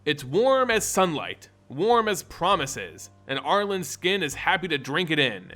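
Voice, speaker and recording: A man reading a fantasy story as an expressive, dramatic narrator with deliberate phrasing. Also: fairly high pitch, soft background music.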